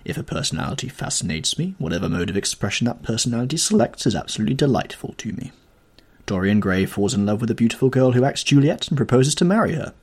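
A man's voice: mid-range voice, lively intonation, fast, breathy edge, pitched above the performer's own voice. Man reading as a languid witty aristocrat. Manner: languid, drawling.

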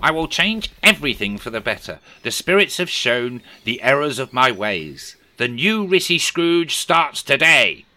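Man doing a shrill gleeful old miser. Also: big pitch swings, a little slow, hardly any pauses, full character voice. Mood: pompous. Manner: shouting, exclaiming, manic glee. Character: gleeful scheming male miser, loud and theatrical.

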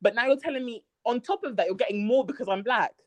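A woman's voice: fairly high pitch, quick pace, no echo. A woman co-hosting a casual chat about a TV series, emphatic and slangy. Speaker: podcast co-host.

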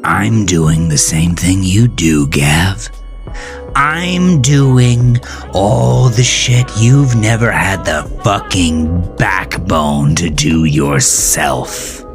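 Horror audio drama killer, voiced by a male narrator: deep, menacing, mocking, unhurried, over music. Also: exaggerated voice. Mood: angry, taunting. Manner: taunting, deliberate, cursing. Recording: music bed behind voice.

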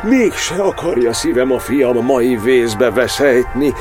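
Casting: male actor doing worried elderly mother, pleading and lifted in pitch, radio drama with music behind. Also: expressive, close to an everyday voice. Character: anxious, loving elderly mother of a priest.

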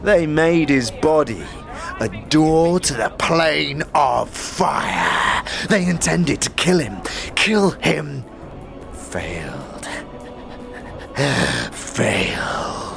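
A gravelly, ragged old male beggar spins cryptic tales for a fantasy audio drama. He drags his words out very slowly and repeats himself, his pitch lurching wildly from hiss to cry. Music plays clearly underneath. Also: very animated, full character voice. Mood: ominous, bitter. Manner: halting, repeats phrases, erratic swings. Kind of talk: theatrical audio drama storytelling.

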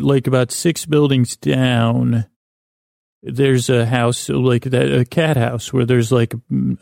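A middle-aged man hosting a sleep podcast, rambling hesitantly and often trailing off mid-thought in a gravelly voice. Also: mid-range voice, a little mellow, no room sound, clean studio sound. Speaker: podcast host.

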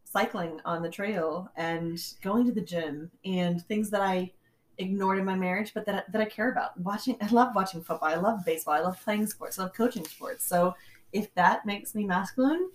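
A woman's voice: mid-range voice, moderate pace. Laughing, emotive woman co-host. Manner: self-mocking, laughs often, emotive. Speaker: podcast co-host. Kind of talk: casual chat.

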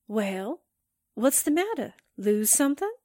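Woman acting high-voiced, excitable reporter sidekick. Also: a little slow, dry recording, clean studio sound. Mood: confused. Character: eager, excitable, slangy newspaperwoman sidekick. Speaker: actor in an amateur radio drama re-creation. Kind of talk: 1940s radio acting.

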